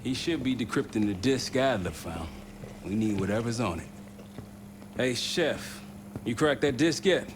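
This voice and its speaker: An actor playing a gruff, weary American special operations team leader in a video game cutscene. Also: male voice, medium pitch, expressive, unhurried, background noise, natural voice. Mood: weary, low-key.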